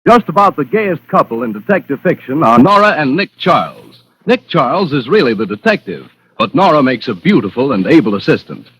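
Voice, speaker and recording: A man's voice: mid-range voice, very animated, brisk, hardly any pauses, fairly bright tone, rough recording. A man reading a scripted promotional announcement in 1940s radio style. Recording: rough vintage 1940s radio recording.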